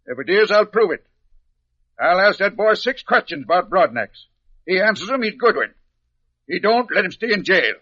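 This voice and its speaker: Impatient rural planter, plain-spoken and clipped, higher-pitched male voice, dull old-radio sound. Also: sing-song, moderate pace, long pauses, pushed and bright, exaggerated voice. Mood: brisk, assertive. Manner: folksy, clipped, bossy. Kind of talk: old-time radio drama acting. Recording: muffled vintage radio recording.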